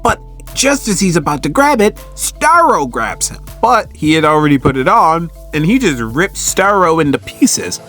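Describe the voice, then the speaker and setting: A man hosting a recap in casual commentary, telling the story in an animated, conversational way. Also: fairly high pitch, normal speed, faint music behind. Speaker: host of a comic book recap channel.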